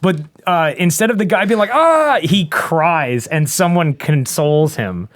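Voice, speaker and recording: A man's voice: on the high side, expressive, measured pace. A man in a casual panel chat, sharing opinions and anecdotes in a conversational way.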